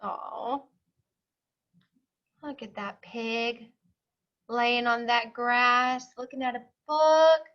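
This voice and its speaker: A woman's voice: fairly high pitch, steady tone, extremely slow, pauses often, lo-fi sound. A woman teacher reading a picture book aloud to young children, expressively, with simple explanatory asides.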